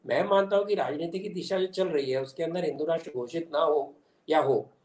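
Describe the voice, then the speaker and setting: Older male interview guest, slightly high voice, emphatic explainer, roomy video-call sound. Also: a little fast, darkish tone. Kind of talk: explanatory political interview answer. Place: small indoor room at home. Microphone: video call device. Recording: echoey room on video call.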